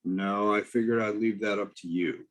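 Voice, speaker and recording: A man's voice: fairly low pitch, steady tone, normal speed, natural voice, poor audio. An older man reading a playful grandfather magician at a table read over a video call. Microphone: video call mic.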